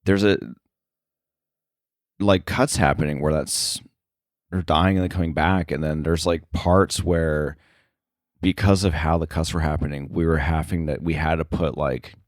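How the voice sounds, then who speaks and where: Low-voiced man, halting casual talk. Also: fairly even intonation, dry recording, clean studio sound. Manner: halting, filler-heavy, circling back to rephrase. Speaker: interview guest, sound effects editor.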